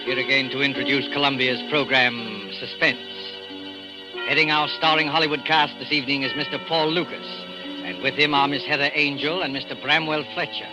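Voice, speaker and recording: An actor playing an ominous, portentous host of a 1940s radio suspense series, announcing with deliberate dramatic pauses. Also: male voice, medium pitch, fairly even intonation, close to an everyday voice. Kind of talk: 1940s radio announcing, theatrical scripted introduction. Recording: dull old 1940s radio recording, music behind.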